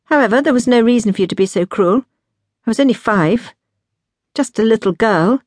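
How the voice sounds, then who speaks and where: A woman voicing a blunt, outspoken eighteen-year-old younger sister in an audiobook, sharp and direct. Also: fairly high pitch, level tone, moderate pace, no echo, pitched above the performer's own voice, natural voice. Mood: sharp, accusing. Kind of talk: audiobook character dialogue.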